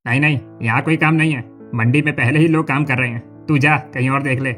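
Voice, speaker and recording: A man acts out a hard-bargaining farm owner in a cartoon story. He is bossy and brusque, with a middling pitch. Faint music sits behind him. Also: steady tone, a little fast, fairly bright tone, natural voice. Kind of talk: animated story dialogue.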